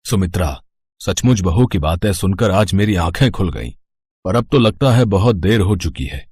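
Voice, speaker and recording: A man's voice: low voice, animated, normal speed, a little mellow, breathy edge, no room sound, clean studio sound, pitched below the performer's own voice. A man voicing a tired, defeated older father in a village moral story, speaking in a resigned way. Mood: ashamed.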